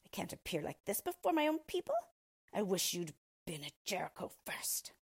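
A woman's voice: on the high side, big pitch swings, a little slow, vocal fry, slightly rough, pitched above the performer's own voice, full character voice. A woman voicing a cranky, ungrateful old male dwarf in a storybook, screaming, snapping and scolding. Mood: outraged scolding.

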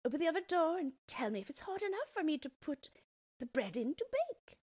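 A woman voicing a cruel, greedy old witch with a shrill, bossy manner. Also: very animated, fairly quick, a little mellow, no echo, clean studio sound. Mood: menacing. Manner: impatient, bossy.

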